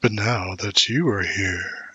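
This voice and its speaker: A man's voice: on the low side, bright, forceful tone, no echo, lo-fi sound, soft background music, heavily acted. A man playing a seductive, patient spirit of the void in an intimate audio roleplay, lingering on words and often slipping into a whisper. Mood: musing, alluring. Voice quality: breathy, often dropping into whisper. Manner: slow, lingering, hypnotic.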